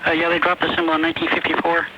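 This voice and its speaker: A man's voice: fairly high pitch, completely flat delivery, rapid-fire. A man calling in to a radio show, speaking hesitantly. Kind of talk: hesitant phone-in question. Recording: dull noisy phone call over shortwave radio.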